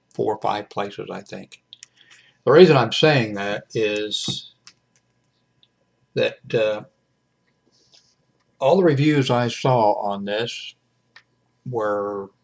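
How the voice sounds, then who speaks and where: A man in his seventies talking casually and haltingly in an unscripted home video review, with frequent mid-sentence pauses. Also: mid-range voice, gravelly. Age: 70s. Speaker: radio hobbyist reviewing a portable shortwave radio. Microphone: webcam microphone.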